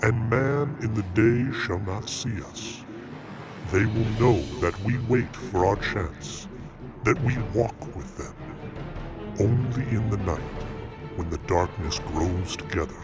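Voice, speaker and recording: A man's voice: fairly low pitch, unhurried, loud music behind the voice, close to an everyday voice. A man playing a menacing, gloating supernatural shadow creature in old-time radio horror style, taunting and ominous. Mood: gloating threat.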